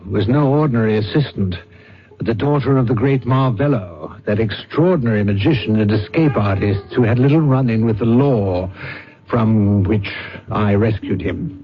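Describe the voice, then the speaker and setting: An actor playing a vain, testy psychic detective, middle-aged, in the theatrical style of old-time radio drama. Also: male voice, medium pitch, natural voice. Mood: self-assured. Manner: theatrical, testy, self-important. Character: vain, impatient psychic detective and master of disguise. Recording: muffled, hissy old radio recording.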